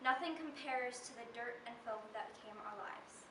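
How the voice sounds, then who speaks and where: A teenage young woman giving a deliberate, dramatic slam poetry performance. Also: on the high side. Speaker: slam poet. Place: live poetry event, recorded from a distance. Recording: distant echoey room recording with noise.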